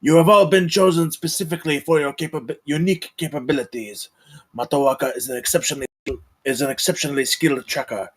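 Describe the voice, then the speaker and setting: A man playing a grave, courtly count in a screenplay table read, speaking formally and with measured solemnity. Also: on the high side, breathy edge. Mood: formal, commanding. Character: commanding, grave, courtly count leading a supernatural hunt.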